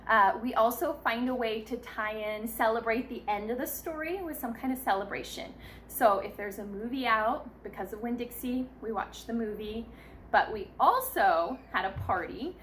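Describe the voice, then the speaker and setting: A woman's voice: a little high, fairly bright tone, a little hiss or hum. A woman in her thirties, a mother giving casual, encouraging tips in a chatty vlog style. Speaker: mother sharing parenting tips. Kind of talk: casual instructional vlog talk.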